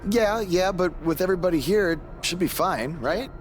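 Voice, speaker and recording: An actor playing an earnest, hot-headed young wrestler in a video game cutscene. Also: male voice, high voice, expressive, moderate pace, a little hiss or hum. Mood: uneasy.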